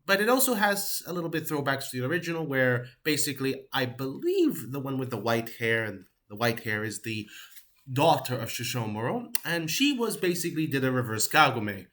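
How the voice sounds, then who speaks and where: A man's voice: medium pitch, big pitch swings, a little fast, a bit bright. A man giving a casual, rambling spoken review, often hesitating and trailing off as he searches for words. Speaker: anime reviewer.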